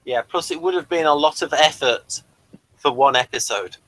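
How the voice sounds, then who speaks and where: A man's voice: fairly high pitch, normal speed, a bit bright, no echo, rough recording. A man hosting a casual pop-culture review, rambling keenly through small details. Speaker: review show host.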